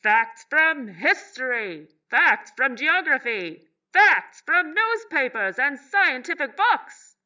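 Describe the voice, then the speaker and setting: A muffled, slightly distant recording of a woman acting a music hall emcee in a radio play. The voice is high and swooping, with slow, formal, showy announcements. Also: very animated, bright, forceful tone, exaggerated voice. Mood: grandiose. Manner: theatrical, formal, drawn-out announcing. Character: grandiose music hall master of ceremonies introducing acts. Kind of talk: stage announcing in an audio play.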